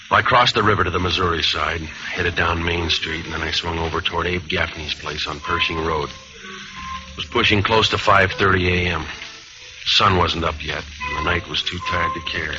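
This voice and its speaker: Very deep, weary hardboiled American actor's voice, man. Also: natural voice. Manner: deadpan, clipped, tough-talking. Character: world-weary, hardboiled jazzman narrator, male, Pete Kelly. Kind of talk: mid-century hardboiled radio drama acting, terse narration. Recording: muffled, noisy vintage radio recording.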